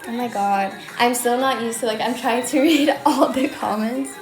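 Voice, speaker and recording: A woman's voice: on the high side, normal speed. A young woman from Sweden with a light Swedish accent, chatting casually on a livestream from her own room, answering comments with lots of "like" fillers. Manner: chatty, bubbly, many "like" fillers. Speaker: livestream host. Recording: roomy echo with faint music.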